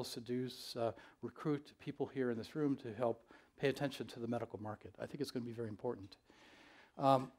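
An older man giving a conference keynote on a large stage, speaking in a measured, thoughtful way with frequent ums and uhs. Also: medium pitch, a little mellow.

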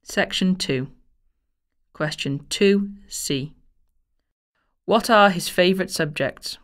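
Measured woman reading exam instructions. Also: fairly low pitch. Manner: measured and formal.

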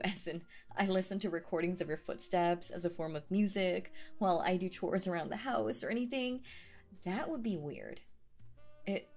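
A woman's voice: fairly low pitch, a little mellow. A woman playing a sweet but possessive, obsessive young woman on a date in a roleplay audio, stammering nervously and prone to jealousy. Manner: flustered, stammering, nervous giggles, swinging to jealous. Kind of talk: roleplay audio acting, intimate one-sided dialogue.

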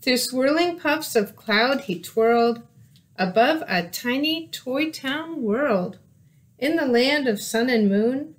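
A woman reads a picture book aloud for children. Her voice is high, and she takes her time, telling the story carefully and expressively. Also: lively intonation, slow pace. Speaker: storybook reader. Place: small room indoors by windows.

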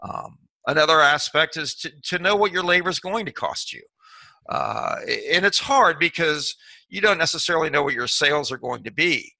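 A man's voice: a little high, normal speed, pushed and bright, no room sound. A middle-aged man, a restaurant consultant and author, explaining in a rambling way full of fillers and restarts. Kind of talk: interview answer, casual explaining.

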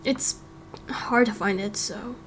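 A woman's voice: medium pitch, slow pace, slight background noise, natural voice. A woman voicing a friendly, encouraging young village man in a game roleplay, with a reassuring manner. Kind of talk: amateur game roleplay acting.

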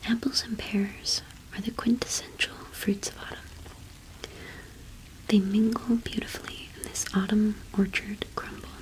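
Young female ASMR host: whispered, very even delivery, soft and gentle roleplay explaining. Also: mid-range voice, measured pace, poor audio. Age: young adult.

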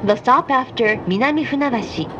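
A woman with a fairly high voice makes formal, scripted announcements on board a train. Her delivery is slow and carefully enunciated. The recording is dull, with plain train noise behind her. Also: lively intonation. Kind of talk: scripted in-car station announcement. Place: inside a train car, played over speakers. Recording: muffled, noisy recording inside a moving train. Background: train rumble and noise.

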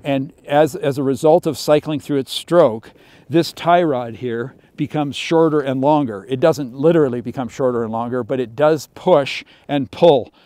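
A middle-aged man presents a snowmobile outdoors among snowy pines, explaining its features in a relaxed, conversational way full of small fillers. His voice is mellow and smooth, and it sounds dry and close, as if from a clip-on mic. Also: mid-range voice, normal speed, dry recording. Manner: casual, explanatory, with frequent fillers. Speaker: snowmobile reviewer. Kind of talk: product walkaround presentation. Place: outdoors in snowy pine woods.

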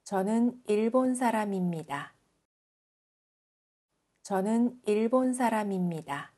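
A woman from South Korea reading example sentences as a language teacher, enunciating carefully and deliberately. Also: mid-range voice, lively intonation, dark tone.

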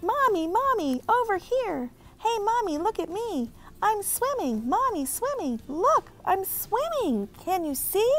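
A woman voicing an eager little boy chick in a read-aloud storybook, squealing with excitement. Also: very high voice, a little slow, hardly any pauses, voice pushed up, exaggerated voice. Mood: excited.

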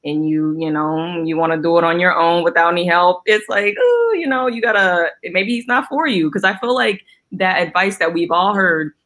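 Brief: woman panelist, lowish voice, easygoing advice chat. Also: expressive, moderate pace, few pauses, fairly bright tone. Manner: laid-back, reads listener questions. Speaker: podcast panelist. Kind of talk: casual chat. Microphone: video call.

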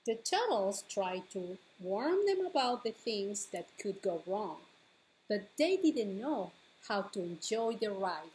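A middle-aged woman hosting a bilingual storytime as a children's librarian, reading picture books aloud with deliberate phrasing for small children. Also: mid-range voice, sing-song, darkish tone, rough recording. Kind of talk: bilingual picture-book read-aloud for preschoolers. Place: living room at home, indoors.

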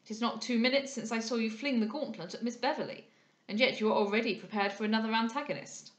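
A woman voicing a witty society gentleman in an arch, mock-grave, formal manner for a period audiobook. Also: fairly high pitch, fast, echoey room, natural voice. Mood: arch, dry. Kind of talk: dramatised audiobook reading of a period novel.

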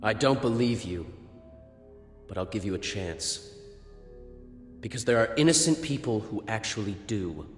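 An actor voicing a proud, hot-headed young fighter in a video game, haughty, theatrical and sarcastic. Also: male voice, fairly low pitch, expressive, measured pace, long pauses. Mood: grudging, wry. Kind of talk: dramatic game cutscene acting. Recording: reverberant game audio with music behind. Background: music.

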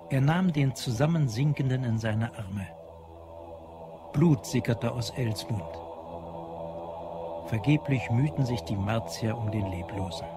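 A man narrating a scripted science-fiction story in a dramatic style. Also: mid-range voice. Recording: loud music behind the voice.

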